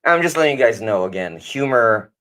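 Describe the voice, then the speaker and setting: A man's voice: mid-range voice, expressive, fairly quick, sharp tone. A man with a Filipino accent, whose first language is Kapampangan, co-hosting a casual livestream, reading viewer comments aloud and laughing often. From Philippines. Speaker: livestream co-host.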